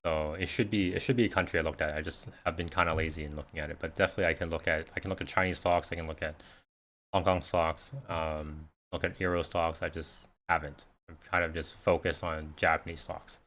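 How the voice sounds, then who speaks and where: Low-voiced man chatting on a livestream. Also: steady tone, brisk, a bit bright. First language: Cantonese. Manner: rambling, conversational, many fillers and restarts. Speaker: investing livestream host. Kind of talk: casual live Q&A chat.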